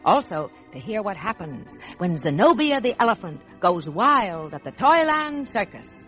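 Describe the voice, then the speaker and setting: A woman's voice: medium pitch, sing-song, unhurried, fairly bright tone, soft background music. An American woman hosting a scripted 1940s children's radio show, addressing children like a storyteller, on an old radio recording.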